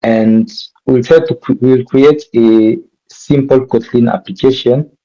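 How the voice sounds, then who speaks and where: A man's voice: mid-range voice, fairly even intonation, a little slow, dark tone, muffled recording. A man from DR Congo with a French accent, teaching a live coding workshop and often stopping to correct himself mid-sentence. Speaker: workshop instructor.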